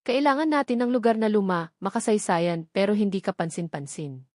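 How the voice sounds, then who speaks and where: A woman's voice: medium pitch, brisk, darkish tone, no echo, studio quality, lightly acted. Woman voicing a resolute Filipino team leader man. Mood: focused. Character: resolute man leading a security team, urgent.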